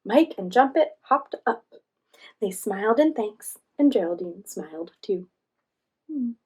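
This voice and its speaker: A woman reading a children's storybook aloud as host, pausing in a teacherly way to guide young listeners. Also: medium pitch, slightly rough, low-quality recording. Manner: teacherly, asks listeners questions and guides them.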